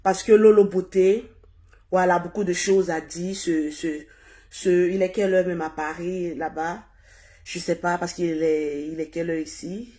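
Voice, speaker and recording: A woman hosting a casual live stream, hoarse and husky from a cold, repeating herself as she urges viewers to share. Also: on the low side, fairly even intonation, unhurried, mellow. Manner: repetitive, urging viewers to share. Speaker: livestream host.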